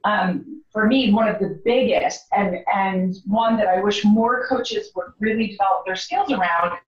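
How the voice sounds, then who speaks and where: A woman's voice: medium pitch, a little slow, distant mic. A woman with a strong voice speaks directly and plainly as a guest coach in a conversational interview. Her voice sounds set back from the mic in a roomy space, and the sound is somewhat dull. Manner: direct, no-nonsense. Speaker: guest coach, former psychotherapist.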